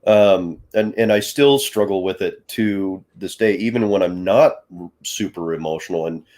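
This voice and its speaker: A man's voice: deep voice, normal speed, darkish tone. A man hosting a podcast who has a stutter. He is hesitant, repeats words, and sometimes breaks off with a light laugh. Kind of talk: conversational interview.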